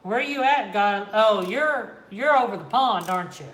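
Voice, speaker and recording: A man from the Kansas, Oklahoma and Missouri area with a Midwestern American accent, hosting a relaxed livestream from home and answering viewers' chat. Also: high voice, moderate pace. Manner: laid-back, reads and answers live chat. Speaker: reptile channel host. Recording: echoey room.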